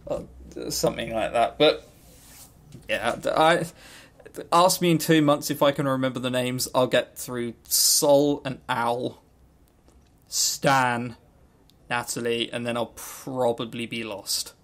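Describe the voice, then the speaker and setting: A man co-hosting a podcast, chatting casually about games and telling stories in an animated, jokey, sweary way. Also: medium pitch, slow. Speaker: podcast co-host.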